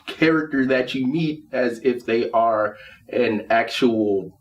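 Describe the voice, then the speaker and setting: A man telling anecdotes casually as a guest, animated and acting out his reactions as he goes. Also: mid-range voice, takes it slowly, highs cut off. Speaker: guest player on a talk show. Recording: distant mic, faint room noise.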